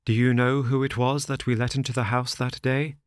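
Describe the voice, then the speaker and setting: A man doing an anxious, careworn young gentleman. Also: medium pitch, level tone, brisk, clean tone, no echo, clean studio sound, voice pushed up, lightly acted. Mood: distressed. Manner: urgent, distressed pleading.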